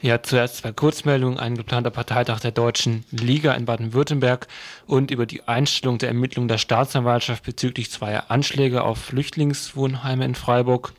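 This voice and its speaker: Male radio newsreader, medium pitch, dry room, halting delivery, short reports. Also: steady tone, no echo. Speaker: radio news co-host. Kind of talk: reading news briefs.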